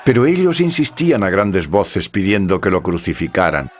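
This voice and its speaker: Low-voiced man for scripture narration, grave and measured scripted delivery. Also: big pitch swings. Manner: solemn, measured.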